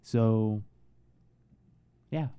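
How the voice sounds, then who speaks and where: A man giving a casual, halting film review in a soothing voice, with frequent pauses and restarts. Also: on the low side, warm tone, clean tone. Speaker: movie reviewer.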